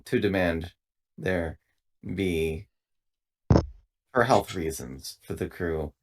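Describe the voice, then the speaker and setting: Man playing a slow, deep, formal shipboard android. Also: slight background noise, rough recording. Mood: polite, deliberate. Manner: measured, formal, halting.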